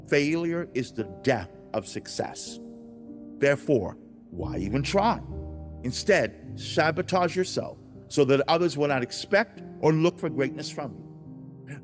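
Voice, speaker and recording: A man's voice: medium pitch, darkish tone. A man in a dramatized religious audiobook, speaking as God, a grieved and loving father, in a solemn, emphatic, preaching manner with formal wording. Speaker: audiobook narrator. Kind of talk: dramatized scripted religious narration. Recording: music bed under the voice.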